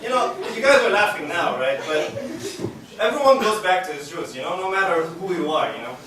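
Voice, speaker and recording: A man's voice: sits high, animated, brisk, over a noisy crowd. A young man doing stand-up comedy on a university stage, rambling and self-mocking with lots of fillers. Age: young adult. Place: university stage with live audience. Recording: echoey hall, distant mic, loud noise.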